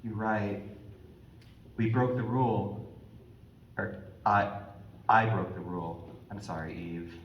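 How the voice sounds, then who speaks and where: A man's voice: mid-range voice, very animated, measured pace, muffled recording, slight background noise. An actor playing Adam, the naive first man, speaking whimsically and singing with wonder in a stage musical. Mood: remorseful. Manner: whimsical, wistful, sings with wonder. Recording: roomy, distant stage sound with orchestra.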